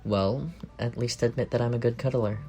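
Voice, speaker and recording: A man playing an affectionate, teasing young roommate secretly in love, in an intimate ASMR roleplay with a soft, creaky voice. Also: medium pitch, steady tone, moderate pace, faint music behind, close to an everyday voice. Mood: playful, teasing. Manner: playful teasing murmur with soft chuckles.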